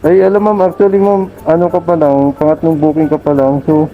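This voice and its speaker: A man's voice: fairly high pitch, fairly quick, narrow, tinny audio. A Filipino man working as a motorcycle taxi rider and vlogger, chatting casually and politely while out on the road. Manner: polite, deferential. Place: outdoors on a motorcycle in city traffic. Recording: loud music, vehicle engine behind.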